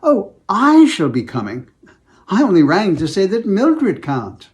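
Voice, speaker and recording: A woman playing a brisk, matter-of-fact male academic colleague in a dramatized novel reading. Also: medium pitch, wildly swooping pitch, a little mellow. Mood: brisk, apologetic.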